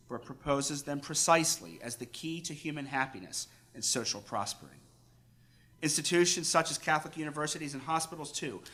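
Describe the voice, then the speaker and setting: Bright, forceful man reading a formal address. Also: mid-range voice. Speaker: priest reading a cardinal's paper at a conference. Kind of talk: formal scripted address read aloud.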